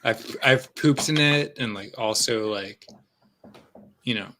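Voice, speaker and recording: A man's voice: medium pitch, takes it slowly, sharp tone, a little hiss or hum. A man in a loose, rambling live-stream chat, swearing freely and joking crudely. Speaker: guest on a beer livestream. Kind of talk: crude casual banter.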